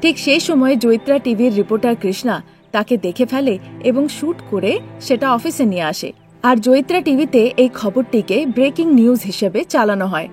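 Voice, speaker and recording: A woman's voice: a little high, lively intonation, moderate pace, a little mellow, soft background music. A woman narrating a scripted cartoon story.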